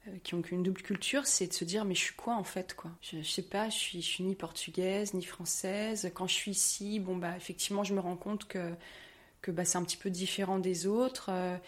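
Woman from France, Parisian accent, lowish voice, lively conversational testimony. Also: brisk. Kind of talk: testimony interview.

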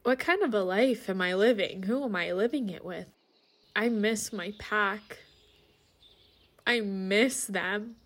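A woman's voice: mid-range voice, slow, fairly bright tone. A woman playing a despairing, short-tempered lone-wolf werewolf in an audio drama, weary and wry, sometimes dropping to near-whispers. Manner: sardonic, swearing, wry laughs. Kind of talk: naturalistic audio drama acting.

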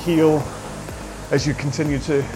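A man coaching a rowing workout, calling out cues in an upbeat, jokey way, his voice slightly hoarse. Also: on the high side, level tone, measured pace, low-quality recording. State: a bit hoarse. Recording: music playing behind. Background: workout music.

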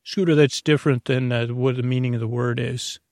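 A man voicing a polite, patient imaginary bunny sidekick on a bedtime podcast, explaining gently and hesitantly in a creaky voice. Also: mid-range voice, steady tone, a little fast, dry recording, clean studio sound, close to an everyday voice.

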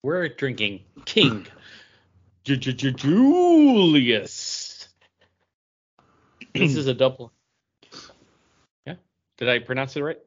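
Man, podcast co-host, lively varied intonation, casual chat, slightly muffled lo-fi audio. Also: medium pitch, slow pace, long pauses, husky. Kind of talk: casual chat about craft beer.

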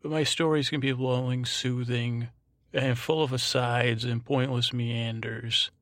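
A man hosting a bedtime podcast, talking in a meandering, halting monologue, often repeating himself and trailing off mid-word. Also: medium pitch, level tone, fairly bright tone, no echo, clean studio sound. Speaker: podcast host. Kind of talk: rambling bedtime monologue to help listeners sleep.

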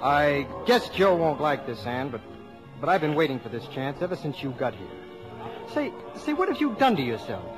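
A man playing a smitten, earnest American high-school boy with slangy talk in a 1950s radio comedy. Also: sing-song, moderate pace, music in the background, poor audio. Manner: boyish, slangy, sincere. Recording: old radio broadcast.

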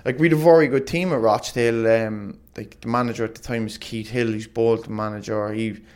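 A man's voice: on the low side, fairly even intonation, fairly quick, darkish tone. Chatty man, rambling stories. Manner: rambling, lots of "like", laughs often. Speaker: guest, former footballer. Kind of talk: casual storytelling interview.

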